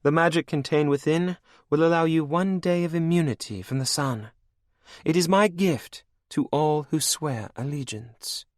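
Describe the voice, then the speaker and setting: A man's voice: a little high, a little slow, no echo, clean studio sound, pitched above the performer's own voice, lightly acted. A man voicing a cruel, regal female vampire queen who speaks sweetly and mockingly. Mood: mocking command.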